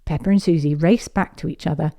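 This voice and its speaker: A woman reading a children's picture book aloud as a storyteller, in an expressive, measured way. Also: low-pitched, vocal fry.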